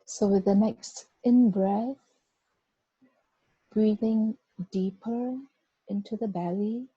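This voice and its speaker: A woman guiding a body scan meditation, giving gentle, unhurried instructions with long pauses. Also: medium pitch, steady tone, breathy edge, dry recording. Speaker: meditation guide. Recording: dull, muffled lo-fi recording.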